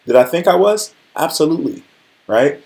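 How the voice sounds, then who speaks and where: A man's voice: a little high, very animated, moderate pace, creaky, a little mellow. A man in his forties hosting a livestream, chatting casually and conversationally, often checking in with his listeners. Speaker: livestream host. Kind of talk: casual motivational livestream chat.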